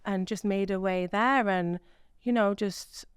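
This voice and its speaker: A woman's voice: medium pitch, unhurried, clean tone, no room sound, clean studio sound. A young woman from Iraq, a guest on a podcast, chatting casually with lots of fillers and "you know what I mean". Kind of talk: casual interview chat.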